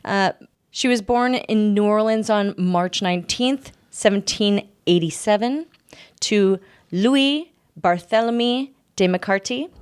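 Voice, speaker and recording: A woman's voice: medium pitch, slow pace, clean tone, no room sound, studio quality. A woman hosting a podcast, telling a story in an informal, joking way as part of a casual chat. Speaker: podcast host.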